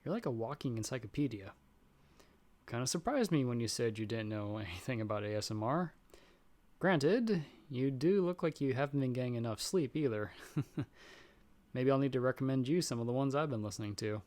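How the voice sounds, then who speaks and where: A man plays a young guy confiding in a close friend in an intimate audio roleplay. He is nervous and unsure of himself, rambling casually in a mid-pitched voice and softening his doubts with self-deprecating jokes. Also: expressive, brisk, close to an everyday voice. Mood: joking, teasing.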